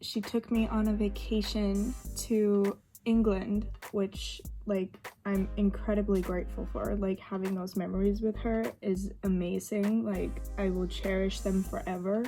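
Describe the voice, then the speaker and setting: A woman's voice: mid-range voice, warm tone. A young woman from Sweden, a native Swedish speaker, chatting casually in English while getting ready, with lots of fillers and laughs. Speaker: vlogger. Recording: faint music behind.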